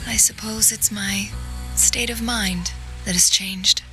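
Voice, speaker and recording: An actress playing a calm, graceful young woman in a video game, soft-spoken and wistful in manner. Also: medium pitch, slow pace, close to an everyday voice. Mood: gentle, reflective. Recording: music behind the voice. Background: music.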